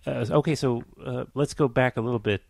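A man's voice: medium pitch, fairly quick, creaky, a little mellow, no echo. A man hosting an interview, asking loose, rambling questions with frequent restarts. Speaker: podcast host.

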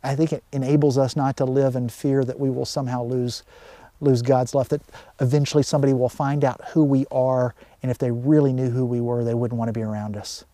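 A man's voice: medium pitch, level tone, fairly quick, warm tone, dry recording. A middle-aged man giving a short sermon as a pastor, speaking to his congregation. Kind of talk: gentle short sermon with pauses. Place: outdoors in a pine wood.

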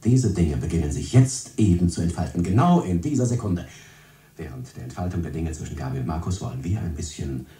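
An actor playing a solemn, mock-romantic narrator in a radio play, speaking in a portentous, drawn-out, theatrical way. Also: male voice, sits low, expressive, dark tone. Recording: distant, roomy 1970s radio recording.

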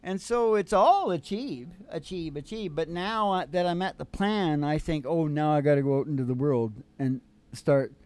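A man's voice: fairly high pitch, moderate pace, a little mellow, no echo. An older man hosting a casual, rambling chat in a folksy way, often repeating his phrases. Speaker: podcast host and musician. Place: small curtained stage studio indoors. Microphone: microphone on stand. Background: acoustic guitar strumming.

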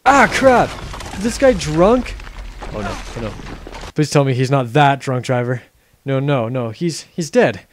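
A man's voice: medium pitch, very animated, unhurried, a little hiss or hum. A man voicing a cocky, callous young adventurer with a glib, sarcastic manner in a parody game dub. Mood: alarmed.

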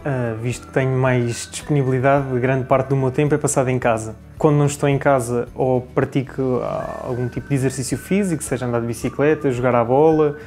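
A man's voice: medium pitch, fairly even intonation, brisk, few pauses, darkish tone, soft background music. Articulate young Portuguese man. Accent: European Portuguese. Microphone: lapel mic.

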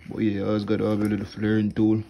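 Young guy, low and a bit gravelly, chill tool bag walkthrough on his phone at home. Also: very fast, highs cut off, noisy background. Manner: relaxed, explains plainly with fillers. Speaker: HVAC technician vlogger. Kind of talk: casual tool tour walkthrough. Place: indoors at home, wooden floor. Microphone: phone camera held close, filming hands.

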